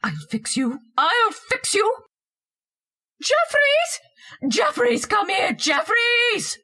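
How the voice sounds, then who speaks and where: A woman's voice: very high voice, very animated, slow, bright, forceful tone, heavily acted. A woman playing a bossy, scolding, histrionic wife haunted by an intruder in an old-time radio horror drama. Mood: shrill, bossy. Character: shrill domineering wife, frightened by a ghostly intruder.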